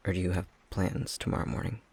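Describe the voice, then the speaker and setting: A man playing an affectionate, self-deprecating young fox-shifter boyfriend in an intimate ASMR roleplay, speaking softly and hesitantly, often half-whispered. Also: low-pitched, steady tone, fast, creaky, husky, heavily acted. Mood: cozy, caring.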